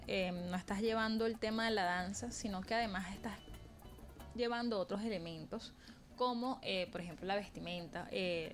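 A woman interviewing a guest, asking long hesitant questions. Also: medium pitch. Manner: hesitant, many fillers and restarts.

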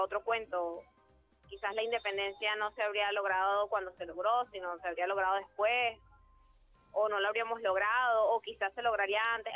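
A young actress speaking, answering earnestly and thoughtfully, with many pauses and fillers. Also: female voice, medium pitch. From Venezuela. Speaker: actress, interview guest. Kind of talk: phone interview. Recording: tinny phone line with faint music.

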